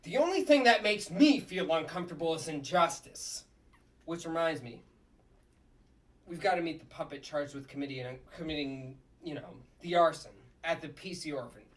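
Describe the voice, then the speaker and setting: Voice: medium pitch, animated, normal speed, far from the mic, close to an everyday voice, poor audio. A performer at a comedy table read playing a blunt, wisecracking, cynical puppet district attorney. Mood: wry.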